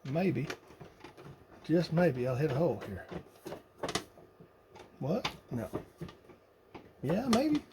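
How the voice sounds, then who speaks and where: A man's voice: fairly high pitch, big pitch swings, very slow, pauses often. A man casually narrating a hands-on build, muttering and thinking aloud as he works. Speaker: hobbyist radio builder. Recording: noisy workshop recording with clicks.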